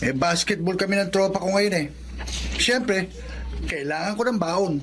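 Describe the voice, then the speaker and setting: Cheeky youngest son, high voice; actor in a radio drama. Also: male voice, moderate pace, lightly acted. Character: youngest son, dropout who likes hanging out.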